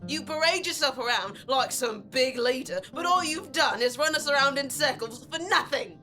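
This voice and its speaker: A woman playing an indignant, sharp-tongued girl from a street gang, accusing and forceful, in an audio drama. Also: high voice, expressive, moderate pace, faint music behind. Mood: angry, upset.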